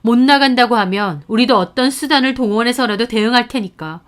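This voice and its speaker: A woman's voice: a little high, fairly quick, natural voice. A woman voicing a fierce older sister figure who confronts others firmly and sharply. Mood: cold, threatening.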